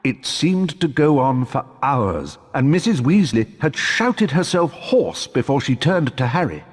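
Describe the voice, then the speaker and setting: A British man playing a measured storyteller who narrates a wizard tale for a video game. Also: medium pitch, sing-song, breathy edge, in a large hall. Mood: storytelling.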